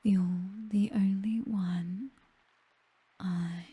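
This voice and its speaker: A British vampire mistress acted by a woman speaks in a very breathy, whispered voice, slowly and possessively. She dotes on her servant with teasing, commanding tenderness. It is an intimate ASMR roleplay, close to the microphone and very dry. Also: medium pitch, steady tone, mellow, dry recording, exaggerated voice. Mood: possessive, tender.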